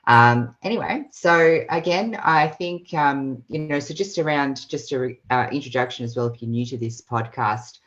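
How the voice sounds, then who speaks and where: A woman's voice: a bass voice, moderate pace, hardly any pauses, fairly bright tone, dull audio. A woman hosting a casual video call podcast, rambling through a long introduction with many fillers. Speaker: podcast host. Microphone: video call mic.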